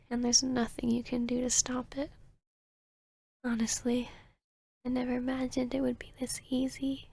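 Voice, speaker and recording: A woman acts out an arrogant female vampire posing as a therapist in an intimate ASMR roleplay. Her voice is a little high and very breathy, often sinking toward a whisper. She speaks slowly and soothingly, with a teasing, hypnotic authority. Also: darkish tone. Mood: smug, gloating. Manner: slow, soothing, commanding, teasing.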